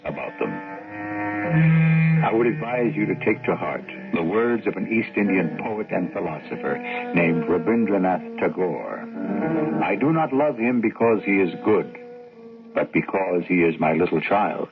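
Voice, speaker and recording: A man hosting a radio mystery show with grave, deliberate, rhetorical narration. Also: low voice, animated, music in the background. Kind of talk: dramatic scripted narration. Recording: muffled old radio broadcast.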